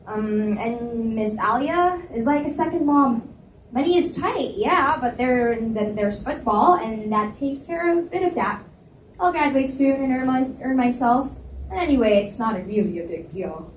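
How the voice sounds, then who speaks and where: A woman reader playing a proud, defensive and easily flustered young college student in a romance novel dialogue reading, with halting conversational delivery. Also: a little high, lively intonation, natural voice. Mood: guarded, hesitant. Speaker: reader at a live book reading. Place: library event space, indoors. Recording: echoey room, distant mic, faint noise.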